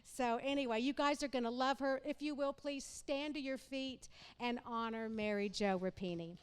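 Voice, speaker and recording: A woman's voice: high-pitched, normal speed. A woman hosting a church conference, enthusiastically introducing the next speaker. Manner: enthusiastic, playful. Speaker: event host introducing the speaker. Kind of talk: stage introduction.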